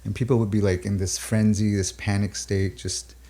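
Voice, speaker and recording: A low, mellow man with a gravelly edge speaks slowly in a reflective interview. He is a native Urdu speaker from Pakistan and the UAE. He pauses and restarts often as he thinks aloud about his music. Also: little pitch movement. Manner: thoughtful, halting, many fillers and restarts.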